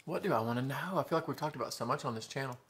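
Southern guy, 30s, eating-show host; chatty, calls viewers y'all, bright forward tone. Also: medium pitch, rapid-fire, slight background noise. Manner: chatty, addresses viewers as y'all, chews between remarks. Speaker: mukbang vlogger. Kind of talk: casual eating show chat. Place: living room with sofa and window blinds. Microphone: camera in front at table distance.

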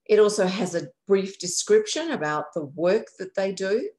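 A woman's voice: mid-range voice, lively intonation, moderate pace, mellow. A woman from a county aging services office, guiding viewers through a website on a shared screen with a friendly, explanatory manner. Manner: friendly, explanatory, self-correcting. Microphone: computer mic on a video call.

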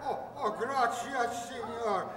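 A man's voice: very high-pitched, takes it slowly, sharp tone, full character voice. A man playing a humble, panicky old man in a radio western. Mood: panicked. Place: stage in a community hall. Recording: echoey, noisy hall stage.

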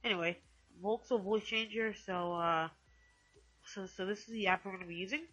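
A woman hosting a casual software tutorial in a hesitant, rambling way, often correcting herself. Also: mid-range voice, fairly even intonation, normal speed, husky, dull audio, soft background music. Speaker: tutorial host.

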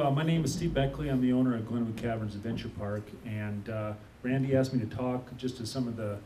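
Male panelist, mid pitch, off-mic with a faint rumble, casual halting delivery. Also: darkish tone, far from the mic, poor audio. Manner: halting, many uh fillers and restarts. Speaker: panelist, business owner. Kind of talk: unscripted panel talk. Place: conference room.

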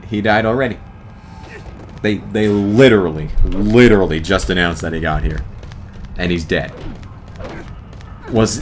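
A man doing a let's play commentary, exasperated, swearing and yelling at the game. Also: on the low side. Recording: commentary over loud game music. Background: game music.

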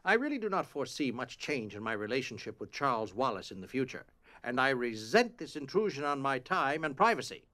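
A man's voice: medium pitch, very animated, fairly quick, sharp tone. A man playing a stuffy, irritable school principal with a pompous, formal manner in an audio drama. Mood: self-important.

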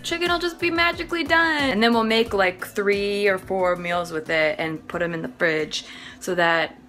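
Young woman, bright tone, chatty and irreverent, filming herself on a handheld camera in a home kitchen. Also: medium pitch, normal speed, soft background music. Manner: chatty, joking, swears casually. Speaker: vlogger. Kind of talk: casual cooking vlog. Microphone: handheld phone camera at arm's length.

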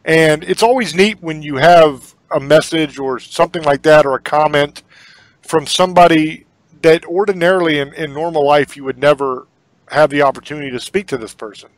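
Male podcast host, casual and earnest, slightly high voice, dry close sound. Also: moderate pace, no room sound, low-quality recording. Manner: earnest, rambling, repeats words. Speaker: podcast host and horror author. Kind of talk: casual sign-off talk.

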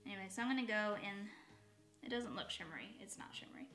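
A woman in her thirties with a slightly raspy, dry voice, chatting in a rambling way while doing her makeup at home, often correcting herself. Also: medium pitch, fast talker, fairly bright tone, soft background music. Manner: rambling, self-correcting, with asides. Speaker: beauty vlogger. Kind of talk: chatty get-ready-with-me. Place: bedroom at home, indoors. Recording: echoey home room.

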